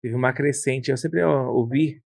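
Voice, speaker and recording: A man's voice: mid-range voice, steady tone, moderate pace, vocal fry, darkish tone, dry recording, studio quality. A man hosting an interview, prompting with questions and recalling shared memories. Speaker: podcast host, interviewer. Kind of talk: casual interview.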